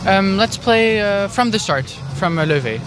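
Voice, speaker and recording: Canadian guy, kinda high voice, lots of ums, outdoors with music playing. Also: animated, normal speed. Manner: hesitant, many ums and uhs. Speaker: festival visitor interviewed. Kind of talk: casual street interview. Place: outdoor food festival in a city square.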